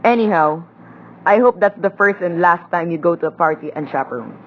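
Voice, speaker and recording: A woman's voice: on the low side, a little fast, phone-quality sound, natural voice. A woman playing a stern, middle-aged, cigar-smoking father in an amateur radio play. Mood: stern, suspicious. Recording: faint background noise.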